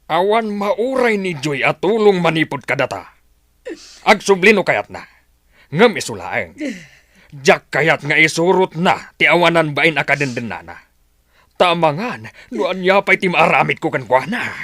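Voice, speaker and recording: A man's voice: fairly high pitch, sing-song, a little slow, fairly bright tone, no echo, studio quality, full character voice. A man playing a scornful, hot-tempered middle-aged father who grumbles, in an Ilocano audio drama. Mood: bitter.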